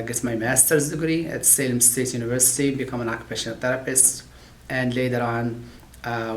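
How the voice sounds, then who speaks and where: A middle-aged man who is not a native English speaker, explaining occupational therapy in an interview, halting with many fillers. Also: mid-range voice, echoey room, a little hiss or hum. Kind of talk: interview talk about his field.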